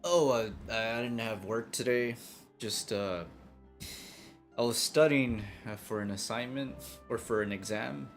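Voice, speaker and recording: A young man streams a game and chats with his audience. His mid-range voice is casual and halting, wandering as he thinks, with soft music underneath. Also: mid-range voice. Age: young adult. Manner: hesitant, halting, rambling. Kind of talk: casual live-stream chat over a game. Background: game music behind the voice.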